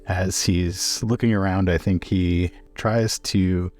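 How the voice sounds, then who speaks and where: A man's voice: low voice, fairly even intonation, takes it slowly, a little mellow, soft background music. A man playing a tabletop roleplaying game, switching between casual game chat and in-character talk.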